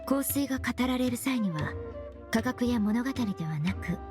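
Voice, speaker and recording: A composed young woman perfumer from an anime game, played by an actress, with a high voice over clear background music. She speaks politely and formally, laying things out in an earnest, measured manner. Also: lively intonation, natural voice. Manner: polite formal speech, measured explanatory delivery. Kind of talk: Japanese anime game voice acting.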